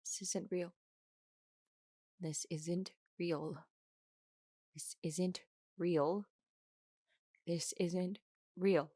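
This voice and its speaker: A woman's voice: on the low side, fairly even intonation, extremely slow, pauses often, warm tone, dry recording, clean studio sound. A woman playing a confused, frightened captive in an audio drama, hesitant and full of questions. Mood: distressed.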